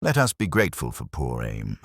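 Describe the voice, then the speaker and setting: A mild police inspector from a mystery story, voiced by a male narrator. He speaks extremely low, soft and understated, with unhurried deadpan irony. The sound is dry. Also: dry recording, full character voice.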